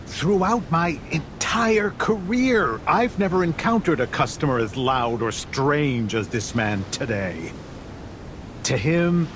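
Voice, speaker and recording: An actor playing an indignant travelling merchant in a game, grumbling in a wordy, melodramatic way. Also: male voice, mid-range voice, vocal fry, noisy background. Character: indignant, long-suffering travelling merchant man, theatrically aggrieved. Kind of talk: theatrical game voice acting.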